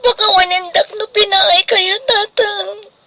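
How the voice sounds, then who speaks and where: An actress playing a meek, pleading young daughter in a radio drama, with a plaintive delivery. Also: female voice, very high-pitched, fairly even intonation, unhurried, crowd noise. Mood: tearful pleading. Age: young adult.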